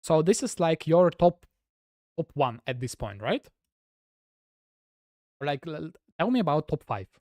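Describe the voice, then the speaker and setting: A man's voice: on the high side, sing-song, a little slow, long pauses, a little mellow, no room sound, studio quality. A man from Russia with a Russian accent, a gaming streamer chatting casually with viewers in a rambling way, full of "like" fillers. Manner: rambling, heavy use of "like" fillers.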